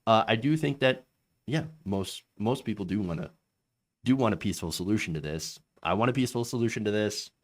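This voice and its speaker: A man hosting a casual political commentary, reading quotes and giving his opinion with many fillers and restarts. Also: brisk. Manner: lively pitch with frequent fillers and restarts; reads quotes aloud with raised pitch.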